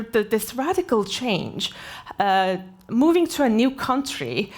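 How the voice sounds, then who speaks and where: Woman, 40s, from Turkey, keynote speaker, slow, halting with fillers. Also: on the high side. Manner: halting, many fillers and restarts. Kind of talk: personal story keynote. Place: conference stage.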